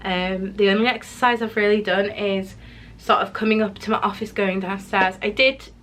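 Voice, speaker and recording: A woman's voice: medium pitch, steady tone, normal speed, a bit bright, a little hiss or hum. A woman vlogging her weekly weigh-in in a rambling, casual way, sounding slightly nasal as she recovers from illness. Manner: chatty, rambling, many ums and restarts. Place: home office.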